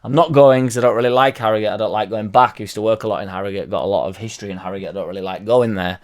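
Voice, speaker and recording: A young man, hoarse from losing his voice, ranting casually about football with loud bursts and swearing. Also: lowish voice, racing. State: hoarse, partly lost voice. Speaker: football fan vlogger. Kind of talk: casual rambling fan vlog.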